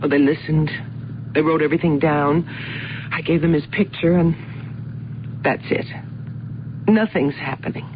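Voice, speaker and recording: An actress playing a distraught, insistent wife who pleads earnestly, in the style of old-time radio drama. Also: female voice, sits low, steady tone, unhurried, pauses often. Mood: worried, firm. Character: distraught, pleading wife of a missing truck driver. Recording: noisy, narrow-band old radio recording.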